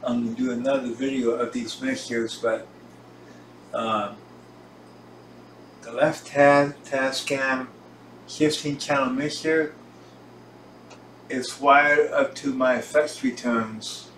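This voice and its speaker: An older man giving a casual, halting tour while filming, speaking in short pieces. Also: medium pitch, fairly even intonation, fairly bright tone. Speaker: home recording hobbyist presenting own gear. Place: home recording room, indoors. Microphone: camera on tripod, some distance away. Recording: roomy echo, distant mic, hissy background.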